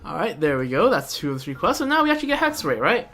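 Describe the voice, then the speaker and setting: Casual streamer commentary: mid-pitched voice, halting, offhand, slangy, with game music clearly playing behind. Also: very animated, fairly bright tone. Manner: halting, repetitive, offhand asides with gamer slang. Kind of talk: casual live gameplay commentary.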